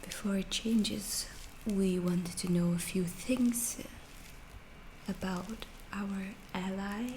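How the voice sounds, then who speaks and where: Woman acting as a calm alien scientist, soft mellow whispery asmr, real slow n detached, echoey w bit of noise. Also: mid-range voice, natural voice. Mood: calm, inquisitive. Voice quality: soft, breathy, often whispered. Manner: slow, deliberate, halting, clinical and detached. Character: calm, curious alien researcher questioning an abducted human. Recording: roomy echo, faint background noise.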